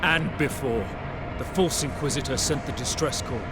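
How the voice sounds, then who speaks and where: An actor playing an anxious, earnest young soldier in a sci-fi video game, speaking with urgent intensity. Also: male voice, medium pitch, moderate pace, very noisy, close to an everyday voice. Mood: troubled, accusing. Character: earnest, anxious young male space marine. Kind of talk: dramatic video game acting. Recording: loud music and artillery fire behind.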